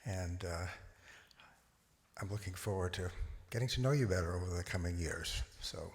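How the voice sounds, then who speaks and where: A middle-aged man speaks at a church service in a fairly low voice. He pauses often, hesitant and sincere. A long reverb hangs behind him in the sanctuary. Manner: hesitant, many uhs. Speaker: congregant.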